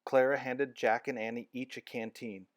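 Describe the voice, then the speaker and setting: A man reading a children's chapter book aloud with expressive storytelling. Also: mid-range voice, moderate pace, dry recording, clean studio sound. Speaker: narrator.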